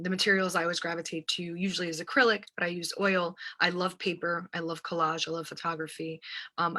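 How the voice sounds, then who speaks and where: A woman's voice: on the low side, pushed and bright. An American woman, an artist, giving reflective interview answers in searching phrases and often checking in with the listener. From United States, New Jersey. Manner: hesitant, searching phrasing, often seeking agreement with "right". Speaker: visual artist and writer, interview guest.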